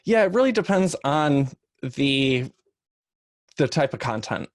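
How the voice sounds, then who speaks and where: A man speaking informally on a panel as a podcast producer, explaining things in a measured, hedging way. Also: mid-range voice, sing-song, dry recording, clean studio sound.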